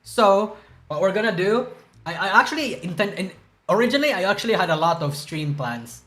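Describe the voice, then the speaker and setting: Rambling, profane male streamer, high voice. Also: lively intonation, brisk, pushed and bright. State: groggy from a hangover. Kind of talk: casual livestream chat reacting to news.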